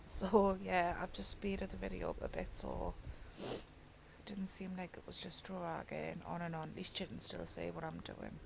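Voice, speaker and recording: Husky woman with a fading voice. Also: fairly low pitch, slight background noise, poor audio. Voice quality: gravelly, often slips into whisper. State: losing her voice, with a cold. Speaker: nail tutorial vlogger. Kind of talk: casual voice-over tutorial. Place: home.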